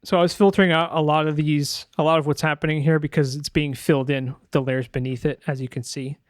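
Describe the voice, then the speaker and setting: Male tutorial narrator, slightly higher pitch, hesitant, informal, thinking aloud, very dry sound. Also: fairly even intonation, fast, dry recording. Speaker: sound designer giving a tutorial.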